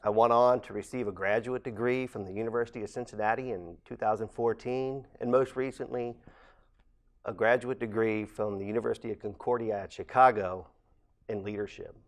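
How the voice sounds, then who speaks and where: A man, giving a measured, earnest campaign statement as a candidate for council president. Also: fairly low pitch, fairly even intonation. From Ohio, USA. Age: late 40s.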